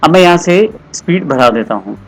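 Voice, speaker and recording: A man narrating a step-by-step software tutorial in an explanatory, instructional way, repeating his instructions. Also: on the high side, expressive, brisk.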